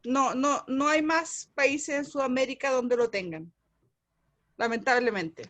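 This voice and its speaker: A woman's voice: sits high, unhurried, fairly bright tone. A woman from Chile, speaking earnestly and a bit hesitantly in a group video call. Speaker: support group participant.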